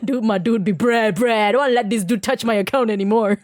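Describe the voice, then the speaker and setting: A woman giving casual live commentary on a video game as a streamer, excitable and giggly, often repeating phrases rapidly. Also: fairly high pitch, no room sound, clean studio sound.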